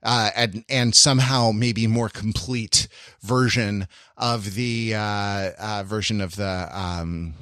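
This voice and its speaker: A man's voice: on the low side, steady tone, slow, a bit bright, no room sound, studio quality. A middle-aged man chatting as a podcast host in a casual, intellectual way, wry and digressive, often searching for his words. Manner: erudite, wry.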